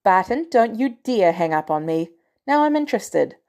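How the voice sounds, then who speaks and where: A woman's voice: medium pitch, big pitch swings, moderate pace, darkish tone. A woman voicing a brusque, commanding female agency director in an audiobook story, blunt and sardonic with an air of authority. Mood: commanding, dry.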